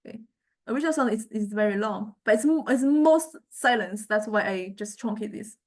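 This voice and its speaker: A woman lecturer giving an informal software demonstration, speaking haltingly and often repeating her words as she explains. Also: mid-range voice, animated. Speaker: music technology lecturer.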